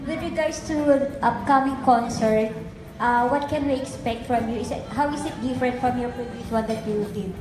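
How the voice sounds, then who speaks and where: Female reporter, polite questioning, distinctly high voice, roomy echo and music behind. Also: normal speed. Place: press conference room, on microphone. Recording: echoey room, music behind.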